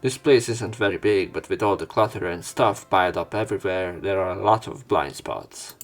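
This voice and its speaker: A man with a fairly low voice narrates a visual novel let's play. He moves between reading the text aloud and casual commentary in an everyday voice, with faint music playing behind him. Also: moderate pace. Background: game music.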